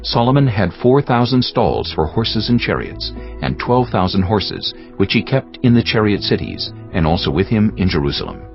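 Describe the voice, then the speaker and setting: A man narrating dramatized scripture as a measured storyteller. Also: lowish voice, sing-song, gravelly. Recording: slightly dull sound, music behind.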